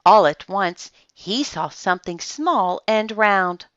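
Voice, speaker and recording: A woman reading a children's story aloud, with dramatic pauses and deliberate emphasis. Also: mid-range voice, expressive, a bit bright, dry recording, lo-fi sound. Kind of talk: expressive children's storybook narration.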